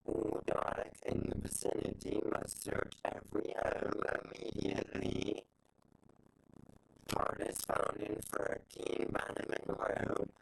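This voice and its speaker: A performer voicing a ruthless alien Dalek that gives slow, clipped orders in a sci-fi audio drama. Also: lightly acted, rough recording. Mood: menacing. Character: menacing Dalek, ruthless alien war machine.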